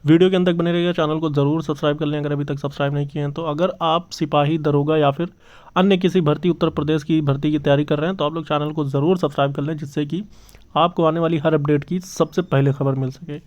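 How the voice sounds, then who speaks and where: A man's voice: fairly high pitch, fairly even intonation, quick pace, a little mellow. A man hosting his channel's job exam news update, talking earnestly and repeating himself with many fillers. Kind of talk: casual news update to camera. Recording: slightly dull lo-fi audio.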